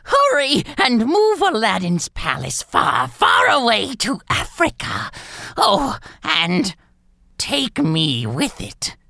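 Evil storybook magician acted by a woman, vengeful, slow theatrical drawl, sharp gravelly edge. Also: on the high side, wildly swooping pitch, no room sound, clean studio sound, pitched above the performer's own voice, exaggerated voice. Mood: commanding. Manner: theatrical, drawn-out gloating. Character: scheming vengeful evil male magician disguised as trader.

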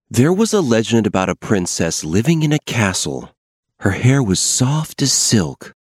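A man narrating a children's fairy tale as an expressive, scripted storyteller. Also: sits low, dry recording, studio quality.

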